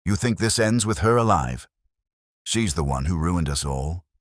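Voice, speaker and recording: Man voicing desperate, haunted gentleman villain, deep-voiced. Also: animated, measured pace, dry recording, clean studio sound. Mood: desperate, bitter. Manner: agitated, pleading, defiant.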